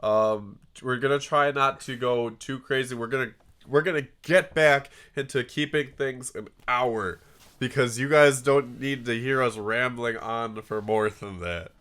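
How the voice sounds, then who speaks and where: A man's voice: medium pitch, measured pace, a bit bright. A man with a cold and a stuffy, nasal voice, co-hosting a casual podcast chat and often stopping to restart his sentences. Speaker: podcast co-host.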